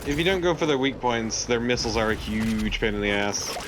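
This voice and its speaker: A man's voice: medium pitch, expressive, a little fast. A man chatting casually as co-commentator on a video game playthrough. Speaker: let's play co-commentator. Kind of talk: casual chat. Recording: clear noise behind voice.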